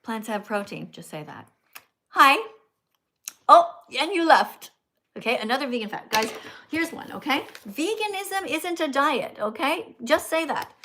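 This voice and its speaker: A high-pitched older woman chatting through a livestream in a teacherly way. She keeps tagging her lines with "okay?" and "right?" as she coaches callers and thanks viewers. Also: sing-song, unhurried, pushed and bright. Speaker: livestream host. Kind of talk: casual livestream chat with callers.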